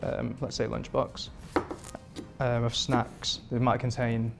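A man's voice: mid-range voice, slow, creaky. Male chef, 30s, from England; easygoing, level delivery, lapel mic in a kitchen, light music bed. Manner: relaxed, rambling with many fillers. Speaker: performance chef. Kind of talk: interview answers. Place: modern home kitchen indoors.

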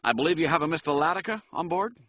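A man's voice: on the high side, lively intonation, fast, pushed and bright, no room sound, lo-fi sound, voice pushed up. A man voicing a tall, thin stranger who speaks in a clipped and formal way. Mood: curt.

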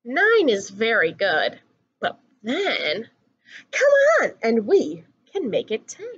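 A young adult woman reading a rhyming picture book aloud to children in an expressive, singsong way. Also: fairly high pitch, slow, husky, muffled recording. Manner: animated, singsong rhyming delivery. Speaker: children's story reader.

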